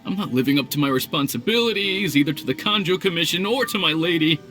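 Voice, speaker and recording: Dutiful game retainer, young: formal, hesitant, lovelorn, highly varied intonation. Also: male voice, lively intonation, fast, a bit bright. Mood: dejected. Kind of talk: video game voice acting. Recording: music behind the voice.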